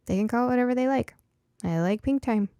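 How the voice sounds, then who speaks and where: A woman's voice: on the high side, fast talker, warm tone, smooth, dry recording, lightly acted. A woman voicing a curious, bookish and stubborn young daughter in an audiobook story, earnest and a little hesitant.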